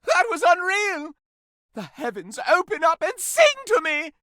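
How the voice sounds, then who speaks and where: A man's voice: very high-pitched, sing-song, measured pace, pushed and bright, dry recording, clean studio sound, heavily acted. A man voicing a flamboyant, lustful living candelabra in a video game, with florid, pompous, innuendo-laden diction and theatrical melodrama. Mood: ecstatic.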